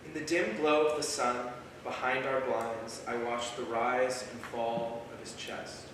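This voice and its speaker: A man's voice: medium pitch, flat delivery, unhurried, close to an everyday voice. A man playing a self-assured, eager young writer in a staged script reading. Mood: soft, reflective. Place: small theater, recorded from the audience. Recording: distant, reverberant noisy theater recording.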